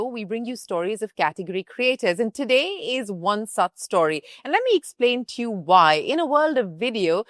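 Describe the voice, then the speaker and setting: A woman's voice: medium pitch, no echo, studio quality. A woman with an Indian English accent, hosting an interview in a polished, articulate and emphatic broadcast style. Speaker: podcast host and interviewer.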